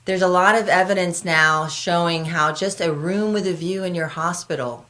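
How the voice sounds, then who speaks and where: A woman's voice: lowish voice, moderate pace, a bit bright. A woman hosting a conversational health radio show as a doctor, speaking earnestly and directly to her listeners.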